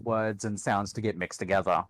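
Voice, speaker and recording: Australian male, low pitch, informal group-chat delivery, faint music underneath. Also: fairly even intonation, normal speed, no room sound. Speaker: guest on a group livestream.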